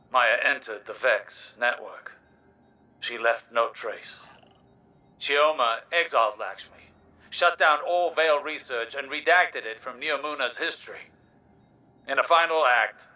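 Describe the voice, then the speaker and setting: An actor playing a formal, precise scholar in a game, gravely delivering a scripted briefing, sounding slightly away from the mic. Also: male voice, mid-range voice, pushed and bright, distant mic.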